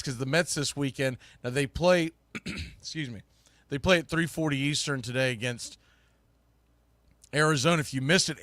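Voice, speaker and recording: A man hosting a sports show in a folksy, excitable way, recapping and previewing games. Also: on the high side, no echo. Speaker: sports podcast host.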